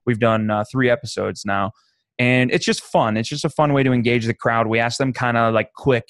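A man's voice: mid-range voice, fairly quick, dry recording, studio quality. A man with a Pittsburgh accent co-hosting a podcast, talking in a casual, joking way and swearing often. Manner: profane, joking and animated. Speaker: podcast co-host.

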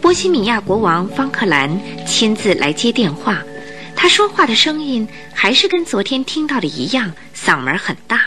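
A woman narrating a Mandarin radio drama as an expressive, scripted storyteller. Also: fairly high pitch, normal speed. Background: music.

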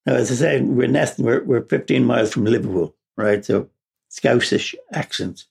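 A man's voice: medium pitch, moderate pace, gravelly, darkish tone, breathy edge. A man with an Irish accent, telling personal stories in a rambling way with frequent chuckles and restarts. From Ireland, raised partly in England. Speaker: podcast guest. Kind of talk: casual personal storytelling.

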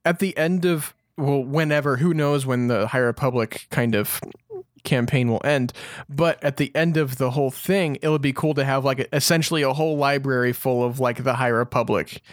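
Male podcast co-host, average pitch, relaxed chat format, very little room sound. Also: normal speed, dry recording, studio quality.

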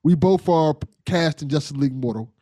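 A man's voice: fairly high pitch, fairly quick, warm tone, dry recording. A man hosting a podcast, chatting in an informal, appreciative way with his guest. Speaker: podcast host, voice actor. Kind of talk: casual conversational interview.

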